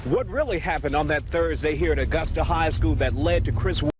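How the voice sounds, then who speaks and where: A man's voice: a little high, brisk. Male reporter, dull noisy outdoor recording. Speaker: news reporter. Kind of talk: news report piece to camera.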